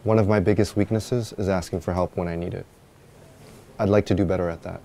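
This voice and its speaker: A man's voice: sits low, steady tone, brisk, vocal fry, mellow, slight background noise. A man in his thirties playing an earnest, polite job applicant in an office interview, answering carefully in a scripted dialogue. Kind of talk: scripted dialogue for language learners.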